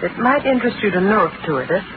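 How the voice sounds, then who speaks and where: A woman's voice: medium pitch, expressive, quick pace, close to an everyday voice. An actress playing a frightened young bride who insists her missing husband is alive, in 1940s radio acting style. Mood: defiant. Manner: tense, breathless and pleading. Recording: lo-fi old radio broadcast with hiss.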